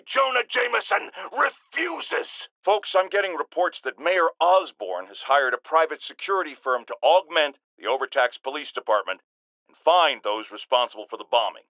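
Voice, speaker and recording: A man's voice: high-pitched, gravelly, pushed and bright, no echo, exaggerated voice. An American man playing a pompous, self-righteous older talk radio host in a video game, indignant and emphatic. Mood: defensive outrage, then stern. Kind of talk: theatrical radio talk show rant.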